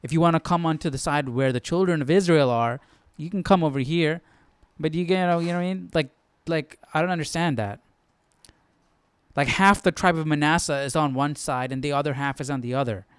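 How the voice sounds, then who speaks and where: Clear-voiced casual man, fairly high pitch. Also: brisk, no room sound. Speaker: host of a Bible study. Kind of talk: casual off-the-cuff commentary.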